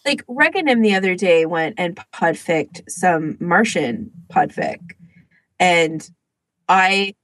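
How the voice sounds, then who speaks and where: A woman co-hosting a podcast in casual chat, often interrupting herself as she tells things in an animated way. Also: on the low side, slow, no room sound, poor audio. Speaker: podcast co-host.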